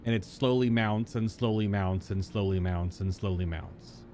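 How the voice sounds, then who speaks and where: A man streaming a game with casual live commentary, thinking aloud in a drawn-out, emphatic way. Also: on the low side, mellow, a little hiss or hum.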